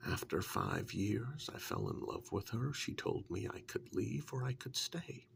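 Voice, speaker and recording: Soft spoken asmr guy playing a witch's loyal helper, half whispering, super gravelly and slow, matter of fact storytelling. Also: fairly low pitch, huge pitch swings, slight background noise, heavily acted. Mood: calm, fond reminiscing. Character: male witch's assistant, plain-spoken, loyal, fond handyman.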